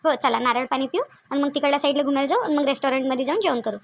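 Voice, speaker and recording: A woman's voice: high-pitched, rapid-fire, a little hiss or hum. A woman voicing a shy, naive young newlywed wife in a cartoon, fretful and exclaiming, with a Varhadi Marathi accent from Vidarbha. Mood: happy. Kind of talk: cartoon dialogue acting in colloquial dialect.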